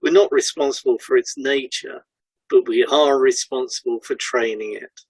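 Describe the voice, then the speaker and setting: A man's voice: medium pitch, steady tone, normal speed, darkish tone, slightly rough, no room sound, low-quality recording. A man co-hosting a scripted podcast, explaining ideas for therapists. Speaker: podcast co-host and hypnotherapist.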